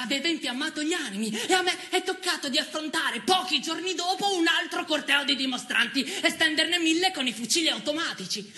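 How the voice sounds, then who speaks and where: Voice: high voice, sharp tone, reverberant, heavily acted. An actor playing a sarcastic, menacing military dictator general in a theatrical stage monologue. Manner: sarcastic, mock-reassuring, theatrical.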